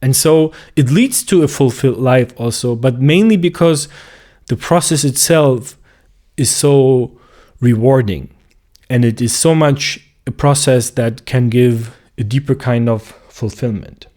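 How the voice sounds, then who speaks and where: A man, a clinical psychologist talking in a slow, reflective monologue and searching for words as he goes. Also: mid-range voice, dark tone. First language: German. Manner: thoughtful, searching for words with restarts.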